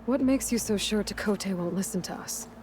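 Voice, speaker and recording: An actress playing a determined, wry young outlander in a video game cutscene, with a direct, probing and dry delivery. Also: female voice, mid-range voice, brisk, a little mellow, soft background music, close to an everyday voice. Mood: pressing.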